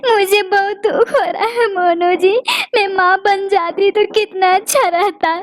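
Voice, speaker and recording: A woman voicing a sorrowful female sparrow in a cartoon who longs for a child and pleads through tears. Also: extremely high pitch. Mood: weeping. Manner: pleading, sobbing. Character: sad childless female sparrow, tearful and quick to anger. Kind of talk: cartoon voice acting.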